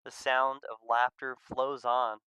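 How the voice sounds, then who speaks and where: A man reading a visual novel aloud as expressive scripted narration. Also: medium pitch, unhurried, clear, resonant tone, no room sound.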